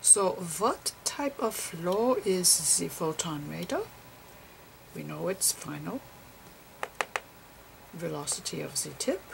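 A woman voicing an eccentric, digressive physicist professor in a whispery, halting ASMR roleplay lecture. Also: on the low side, sing-song, noisy background, full character voice. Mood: musing, pedantic. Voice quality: whispery, breathy. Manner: halting, pedantic, rambling.

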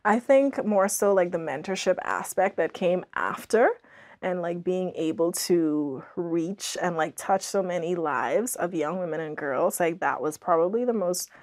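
Low-pitched young woman, reflective guest. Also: animated, a little slow, darkish tone. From Bahamas. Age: young adult. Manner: reflective, frequent "like" as she explains. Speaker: podcast guest, author. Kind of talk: casual interview talk. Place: indoor podcast studio, seated in armchair.

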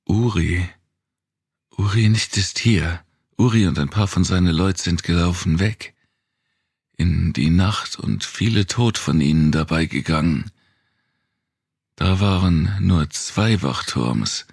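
A man voicing an anxious woman in an audiobook, translating in halting, broken German. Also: deep voice, creaky, darkish tone, husky, dry recording, studio quality, voice pushed up, full character voice. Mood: relieved.

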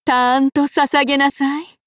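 A woman's voice: sits high, level tone, unhurried, dry recording, clean studio sound. Sweetly menacing demon warrior woman. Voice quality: soft, polite yet threatening delivery. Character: cruel demon-blooded female warrior servant, sweetly menacing. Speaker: game character voice. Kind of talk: anime game voice acting.

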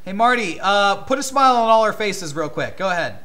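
A young American man with a fairly high, sharp, bright voice. He banters as a podcast host, blunt and deadpan, teasing whoever he talks to. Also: brisk. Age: young adult. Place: indoor room with arcade cabinets.

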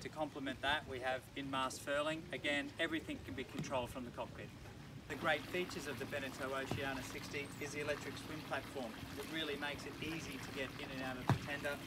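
A middle-aged man presenting a walkthrough tour aboard a moored sailing yacht as a yacht broker, in an upbeat, enthusiastic sales-pitch manner. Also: fairly even intonation, fast talker. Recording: distant mic with marina background noise.

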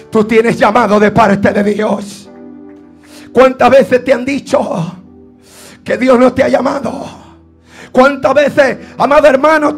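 A man preaching a fervent Pentecostal sermon in a strained voice, exhorting and insistently repeating his phrases. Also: extremely high pitch. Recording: preaching over faint background music. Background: faint music.

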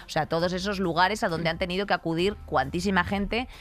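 A woman's voice: lowish voice, fairly even intonation, a little fast. A woman in her thirties with a Spain accent, co-hosting a studio podcast with rambling, colloquial, blunt commentary. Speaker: podcast co-host. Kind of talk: conversational opinionated commentary. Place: indoor radio-style podcast studio. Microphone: studio microphone on desk stand.

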